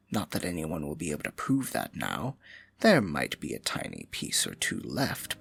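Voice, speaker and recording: Evil court magician-advisor, fantasy ASMR roleplay. Low and gravelly, mocking, condescending, intimate, music underneath. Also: fairly bright tone, full character voice. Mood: smug feigned innocence. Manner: silky, mocking, condescending, drawn-out taunting. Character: scheming evil royal advisor and magician, smugly menacing.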